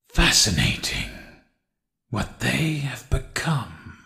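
Rotting animatronic, gravel-heavy, low, sinister horror game villain. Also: male voice, bright, forceful tone, heavily acted. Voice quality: gravelly, whispery. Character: sinister rotting animatronic rabbit, male, cold schemer.

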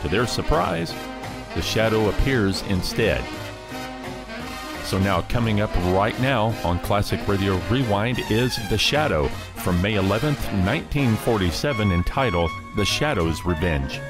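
Low-voiced male podcast host. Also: lively intonation, a little slow, music in the background. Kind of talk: scripted podcast introduction.

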